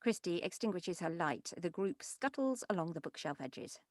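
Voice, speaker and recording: A woman's voice: fairly low pitch, big pitch swings, normal speed, darkish tone, no echo, clean studio sound. A woman reading stage directions as scripted narration at an animation table read.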